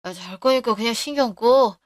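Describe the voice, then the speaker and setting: A woman's voice: fairly high pitch, moderate pace, no room sound, studio quality, lightly acted. A woman voicing a brash, selfish husband who brushes off his wife's worries. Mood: dismissive. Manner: dismissive, snapping.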